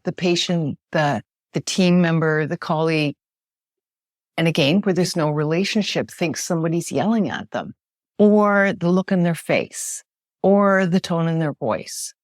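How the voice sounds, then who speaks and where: Woman guest with a big loud voice, slightly low, energetic explainer style, dry close mic. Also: no echo. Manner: emphatic, animated, frequent tag question "right?". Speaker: communication specialist guest. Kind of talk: podcast interview, explaining with examples.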